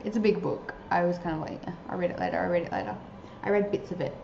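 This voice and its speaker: A woman's voice: lowish voice, fast, creaky, background noise. A young woman giving earnest, chatty advice to camera, filling her sentences with "like.". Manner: earnest, chatty, many filler likes. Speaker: vlogger giving advice to new converts. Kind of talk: casual advice talk to camera. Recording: roomy, slightly dull sound.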